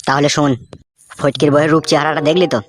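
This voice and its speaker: Fast-talking, swaggering cartoon village boss played by a man. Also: on the high side, flat delivery, natural voice. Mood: scheming. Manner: crude, swaggering slangy talk. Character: lovestruck, scheming village gang boss, crude and brash. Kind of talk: comic cartoon dialogue. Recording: music under the voice.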